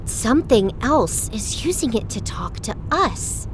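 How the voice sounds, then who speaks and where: Woman as a high-voiced cheeky girl. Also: measured pace, a little hiss or hum, rough recording. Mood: wary, exasperated. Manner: excitable, sassy. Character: bold, cheeky young girl adventurer. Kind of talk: children's audio drama acting.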